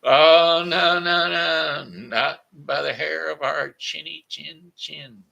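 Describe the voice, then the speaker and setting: A man voicing a frightened little pig in a storybook tale, in a high, panicky voice. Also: fairly even intonation, takes it slowly, creaky, bright, forceful tone, lo-fi sound, full character voice. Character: scared squealing little pig.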